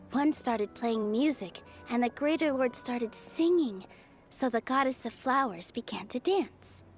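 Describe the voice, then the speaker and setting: Cheery young dancer girl from a game, high voice, super earnest, music softly behind. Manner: expressive, earnest, eager. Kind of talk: video game character acting.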